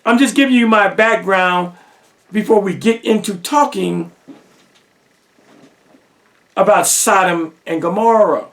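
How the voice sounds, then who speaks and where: Slow, gravelly high-voiced man lecturing. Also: fairly bright tone, distant mic. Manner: emphatic, rhetorical questions, repetition for effect. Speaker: religious teacher. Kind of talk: lecture-style monologue.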